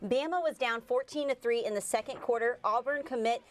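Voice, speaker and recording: A woman with an American accent co-anchoring a sportscast, narrating game highlights with light banter. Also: a little fast, a loud crowd. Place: TV studio.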